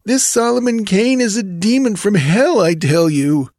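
A man's voice: sits high, expressive, measured pace, darkish tone, dry recording, clean studio sound, voice pushed up. A man voicing a grim, superstitious bandit henchman, sullen and insistent, in an audiobook adventure. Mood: sullen dread.

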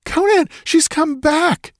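A terrified young woman of pulp adventure fiction, as acted out by a male narrator. The voice is lifted very high, breathless and imploring. It whimpers and gasps in hysterical distress, recorded close and dry. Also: slow, no echo. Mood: shrieking terror. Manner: whimpering, gasping, stammering.

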